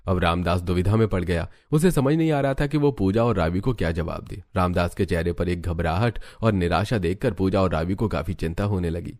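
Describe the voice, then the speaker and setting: Male narrator, low register, scripted storytelling for a family cartoon. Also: fairly quick, hardly any pauses, a little mellow.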